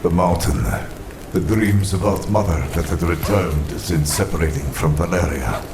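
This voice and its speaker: A man playing a proud, brooding supervillain, narrating his origin in a grave, haughty, measured way. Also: rumbling low, background noise. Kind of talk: scripted dramatic first-person narration. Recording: loud music under the narration.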